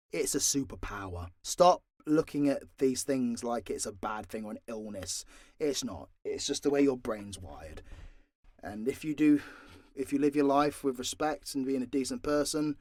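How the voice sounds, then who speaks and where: A man's voice: mid-range voice, no echo. A British man giving a frank, sweary, rambling and informal Q&A chat, close on the mic. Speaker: audio role-play creator and voice actor.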